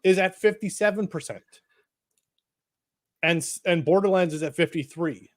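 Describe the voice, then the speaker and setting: A man's voice: sits high, normal speed, dry recording, studio quality. A man bantering in a casual panel chat as one of the panelists.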